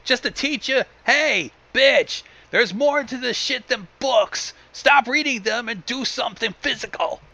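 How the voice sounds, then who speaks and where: A man's voice: extremely high pitch, a little slow, vocal fry, hardly any pauses, pushed and bright, no echo. A man giving casual reaction commentary as a co-host of a story reading, blunt and sweary.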